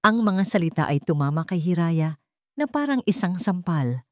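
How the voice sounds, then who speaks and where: A woman's voice: fairly low pitch, big pitch swings, moderate pace, dry recording, clean studio sound. A woman reading a scripted love story as a dramatic narrator.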